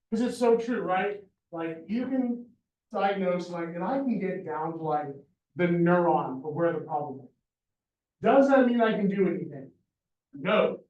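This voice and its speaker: A man teaching veterinary neurology to students, animated and joking, often checking in with "right?" and "y'all". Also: sits high, echoey room, recorded from a distance, low-quality recording. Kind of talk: university lecture. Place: lecture hall. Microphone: handheld or lapel microphone.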